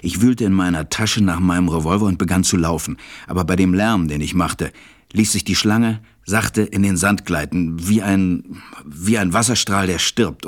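A stranded pilot telling his story in a radio play. His voice is low, tender and melancholy. It is recorded dry and close. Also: no echo. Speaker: narrator. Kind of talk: audio drama narration and acting.

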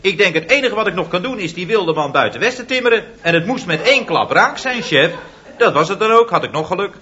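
A man's voice: sits high, lively intonation, quick pace, sharp tone. An actor playing an earnest male employee who explains flying and stammers, in 1960s radio comedy style, on a lo-fi old radio recording. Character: earnest young male employee, keen amateur pilot.

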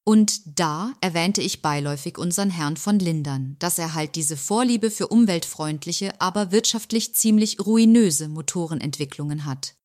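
Low-voiced smug schemer played by a woman. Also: moderate pace, few pauses, darkish tone, no echo, clean studio sound, close to an everyday voice. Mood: smug, coy. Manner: self-satisfied, feigned innocence, sly. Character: smug, scheming female confidante of a company director. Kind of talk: audio drama monologue, confessional narration.

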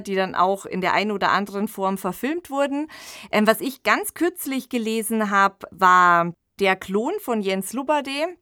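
A woman's voice: mid-range voice, fairly bright tone, dry recording, clean studio sound. A woman co-hosting a conversational discussion about literature, talking in a reflective podcast style. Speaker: podcast co-host discussing radio plays and books.

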